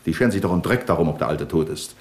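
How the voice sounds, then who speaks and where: An actor playing a shrewd, cynical police commissioner in a 1970s radio crime drama, dry, sardonic and probing. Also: male voice, very deep voice, expressive, very fast. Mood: cynical, bitter. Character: shrewd, cynical male police commissioner leading a murder case.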